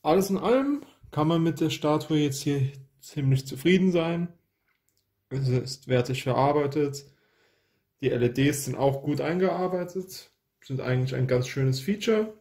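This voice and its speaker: A man's voice: on the high side, animated, moderate pace, darkish tone. A man hosting an unboxing, giving casual product review commentary.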